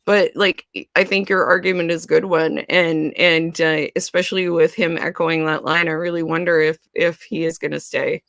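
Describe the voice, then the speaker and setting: A woman co-hosting a podcast, chatting casually about a TV series, animated and laughing often. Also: deep voice, normal speed, vocal fry, few pauses, no echo, clean studio sound. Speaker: podcast co-host. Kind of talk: casual fan discussion.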